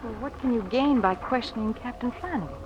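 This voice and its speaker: A woman's voice: on the high side, a little fast, muffled recording, close to an everyday voice. An actress playing a bold young lady adventurer in a 1930s radio drama style. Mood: composed, affectionate. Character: bold young lady stowaway, anxious companion of the hero. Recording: noisy old radio drama recording.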